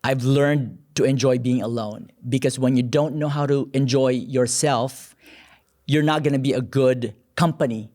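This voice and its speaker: Young man from the Philippines, mid pitch, earnest, reflective storytelling, podcast guest. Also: fairly even intonation, normal speed, darkish tone. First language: Filipino. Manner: earnest, thoughtful, often says "you know".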